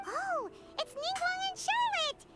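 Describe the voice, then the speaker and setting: A woman voicing a tiny, boastful fairy girl companion in a video game, squeaky and childlike, brash and exclamatory. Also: animated, slow, heavily acted. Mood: excited, boastful. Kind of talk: video game dialogue acting. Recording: loud music under the voice.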